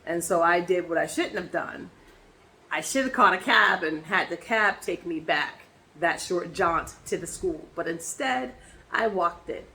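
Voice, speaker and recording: A woman with a hoarse voice from laryngitis, chatting casually in a personal vlog and rambling with many ums and restarts. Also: fairly low pitch, lively intonation, measured pace, sharp tone, poor audio. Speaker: vlogger.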